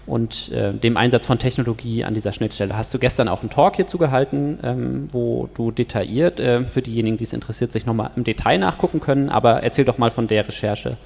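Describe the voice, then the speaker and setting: A man's voice: mid-range voice, quick pace, gravelly, few pauses, a little mellow. A man moderating a panel, guiding the talk and summarising points. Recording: reverberant hall.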